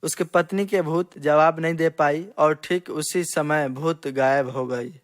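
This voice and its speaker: Male storyteller, moderately high voice, scripted moral-tale narration. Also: steady tone, measured pace.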